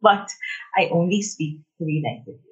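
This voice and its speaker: Filipino woman guest, Tagalog native, animated and giggly, lo-fi sound. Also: fairly low pitch, unhurried. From Philippines. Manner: animated, giggly, self-assured. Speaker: business coach, guest. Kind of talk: casual interview.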